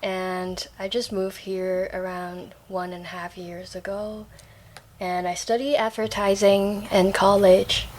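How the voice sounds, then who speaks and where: A young woman from Hong Kong, a podcast guest, speaking softly in simple phrasing in a casual interview. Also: medium pitch, flat delivery, slow pace.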